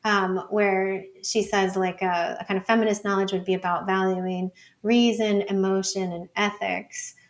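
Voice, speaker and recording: A woman's voice: mid-range voice, little pitch movement, moderate pace, few pauses. A woman speaking as an interview guest, explaining ideas in a thoughtful, informal way with a lot of conversational hedging. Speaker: podcast guest, author and academic.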